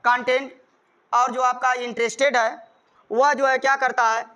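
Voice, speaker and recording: A man's voice: extremely high pitch, little pitch movement, moderate pace, bright, forceful tone, clear, resonant tone. A man with a North Indian accent, a native Hindi speaker, teaching grammar in an emphatic, repetitive way and dictating examples word by word. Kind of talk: classroom lesson explanation with dictated examples.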